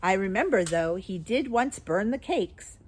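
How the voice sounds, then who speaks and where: A woman voicing a tiny, ancient, capricious shopkeeper woman in a children's storybook, with a shrill little voice and an exclamatory manner. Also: expressive, a little slow, slightly rough. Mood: sweet, coaxing. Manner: chattering, exclamatory, swinging from sweet to fierce.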